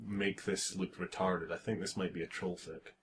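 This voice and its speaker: A man's voice: low voice, fairly even intonation, normal speed, noisy background. A man chatting casually as a co-host, heckling a reading with sarcastic asides and free swearing.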